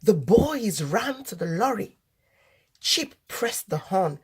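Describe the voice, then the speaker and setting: A woman reading a children's story aloud as host, in deliberate, expressive narration. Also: mid-range voice, slight background noise.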